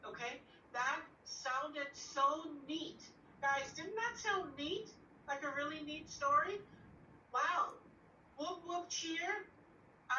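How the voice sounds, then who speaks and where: A woman's voice: high voice, pushed and bright. A woman who is an elementary school teacher, talking informally and encouragingly to children and explaining things. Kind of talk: informal classroom talk to children. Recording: roomy, distant, dull video-call sound, faint noise.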